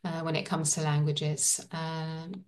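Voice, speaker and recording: A woman's voice: deep voice, flat delivery, slow pace, a little mellow, far from the mic. A woman in casual conversation, talking thoughtfully with frequent fillers and restarts.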